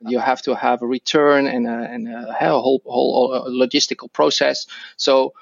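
A middle-aged man with a Dutch accent, a podcast guest who speaks English as a second language and talks haltingly with many restarts and fillers. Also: medium pitch, little pitch movement, creaky, no room sound, highs cut off. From Netherlands. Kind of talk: podcast interview.

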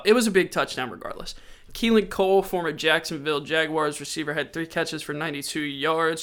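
A man's voice: on the high side, a bit bright. A man with a stuffy, sore-throated voice hosting a casual sports podcast, running through game stats conversationally. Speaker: podcast host.

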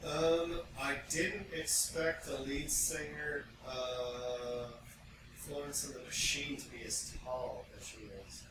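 A man's voice: a little high, fairly even intonation, takes it slowly. A man co-hosting a podcast in casual, rambling, jokey chat, picked up from several feet away in an echoey, noisy room. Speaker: podcast co-host. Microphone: picked up by co-host's mic about five feet away. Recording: distant, very echoey, noisy off-mic pickup.

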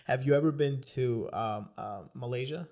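A young man hosts a casual podcast interview. He is mid-pitched and easygoing, laughs a lot, and rambles through his questions with frequent restarts. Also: moderate pace, a little mellow, lo-fi sound. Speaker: podcast host.

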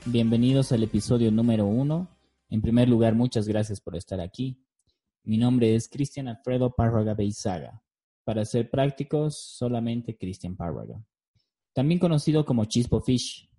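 A man in his thirties with a Bolivian accent, hosting a podcast solo and reading prepared narration in an earnest, deliberate manner. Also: medium pitch, warm tone, slightly rough, soft background music, poor audio. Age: 30s. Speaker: podcast host.

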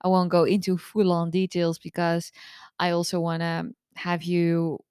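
Hoarse lady in her 30s, podcast host, open book type, kinda low voice, dry. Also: monotone, measured pace, a little mellow, no echo. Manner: candid, chatty, open-book. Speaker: podcast host and eating disorder recovery coach. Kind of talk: casual solo podcast monologue.

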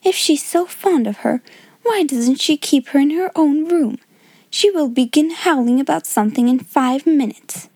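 A woman's voice: high voice, moderate pace, darkish tone, dry recording, pitched above the performer's own voice. A woman voicing a spiteful, haughty older schoolgirl in a storybook, bossy and scornful. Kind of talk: storybook character voice in audiobook.

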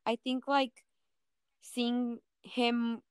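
A woman's voice: a little high, flat delivery, extremely slow, clear, resonant tone, dry recording, studio quality. A young woman co-hosting a podcast, chatting informally about television and hedging as she goes. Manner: informal, conversational, hedging with "like" and "honestly". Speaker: podcast co-host. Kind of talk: casual TV discussion.

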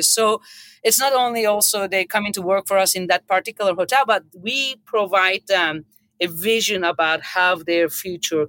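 A woman's voice: on the low side, sharp tone. A middle-aged woman with a Turkish accent speaking as a hotel executive in an unscripted panel discussion, earnest and emphatic, repeating words for emphasis. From Turkey.

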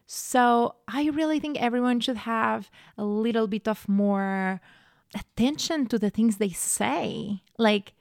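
A young woman from Portugal, hosting a podcast in an earnest, reflective monologue that grows animated when she tells a story. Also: a little high, vocal fry, dry recording, studio quality. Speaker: podcast host.

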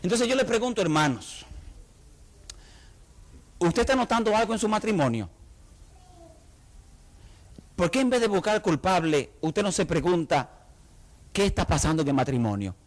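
A middle-aged man with a Dominican accent preaches a sermon in an animated way. His voice sits high for a man and swings widely in pitch. He slips into the voices of the people in his stories as he talks. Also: moderate pace, pauses often. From Dominican Republic. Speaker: pastor.

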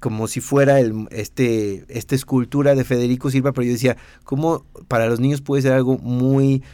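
A man's voice: mid-range voice, normal speed, smooth, no room sound. Mexican man in his thirties, designer-teacher, loose rambling talk, mid-range voice, close dry sound. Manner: relaxed, rambling with many fillers and restarts. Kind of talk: casual interview.

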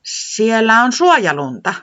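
Nainen esittää pientä, mietteliästä olentoa, joka puhuu epäröiden ja vihjaillen korkealla äänellä. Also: female voice, on the high side, big pitch swings, a bit bright, highs cut off, voice pushed up. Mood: hinting. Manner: hesitant, hinting, wistful.

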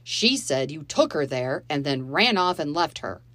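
A woman reading the part of an angry stepfather who shouts and accuses two children, in a storybook reading. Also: low voice, very animated, moderate pace, dry recording. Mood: shouting, accusing. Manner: loud, accusing, bitter.

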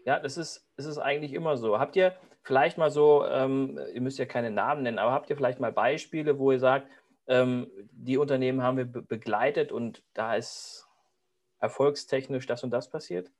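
A man hosts an interview, asking questions briefly and encouragingly. His voice is at an average pitch for a man. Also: normal speed. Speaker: host. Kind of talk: interview hosting.

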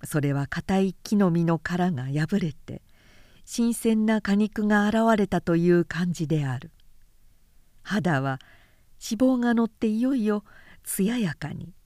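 A woman reading a literary story aloud as narrator, in measured scripted storytelling. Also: lowish voice, expressive, dark tone, dry recording, studio quality.

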